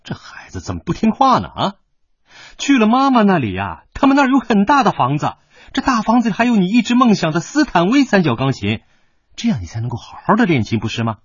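A middle-aged working father in a radio drama. His husky voice swings very widely in pitch, sighing and emphatic, proud and stern yet devoted. The recording is dry and slightly dull. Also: huge pitch swings, fast talker, no room sound, heavily acted. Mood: coaxing. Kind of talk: Chinese radio drama acting.

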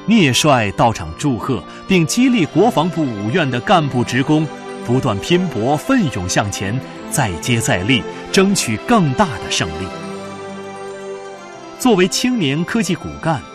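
A man delivering scripted radio drama narration in a solemn, declamatory manner. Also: fairly high pitch. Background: prominent music.

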